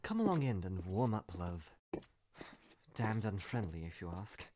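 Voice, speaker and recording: A man's voice: deep voice, big pitch swings, unhurried, background noise. A man playing a witty, unflappable female archaeologist adventurer in a comic dub, full of wry quips and muttered asides. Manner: wry, quipping, talks to self. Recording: music behind the voice. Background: clear music.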